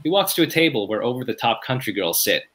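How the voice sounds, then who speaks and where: A man's voice: medium pitch, big pitch swings, quick pace, a bit bright. A man reading scripted stage directions as narrator at a table read.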